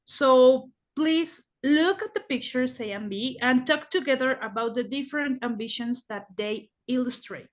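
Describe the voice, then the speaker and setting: A young adult woman running an oral English exam, asking measured questions and guiding the candidates. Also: high-pitched.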